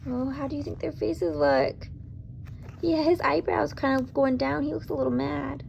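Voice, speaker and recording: A woman reading a children's story aloud with deliberate, dramatic emphasis, speaking directly to young listeners. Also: sits high, fairly even intonation, vocal fry, a little mellow, lo-fi sound, a little hiss or hum. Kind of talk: expressive children's read-aloud with asides to listeners.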